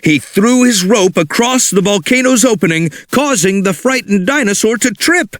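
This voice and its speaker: Animated male storybook narrator. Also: high voice, normal speed, dry recording, studio quality. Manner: animated, expressive reading for children. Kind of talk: read-along storybook narration.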